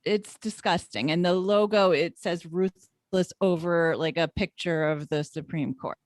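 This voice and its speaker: A woman's voice: lowish voice, a little slow, no room sound. A woman co-hosting a podcast in casual political banter, with an indignant, sarcastic manner. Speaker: podcast co-host.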